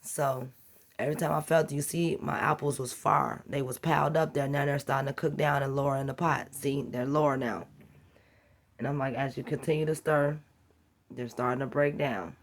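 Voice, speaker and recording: A woman with a slightly raspy voice from a cold, chatting casually and encouragingly as she walks through an easy home cooking task. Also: very deep voice, fairly even intonation, normal speed, a little hiss or hum. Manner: chatty, rambling and encouraging, talks while working. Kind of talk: casual how-to cooking vlog.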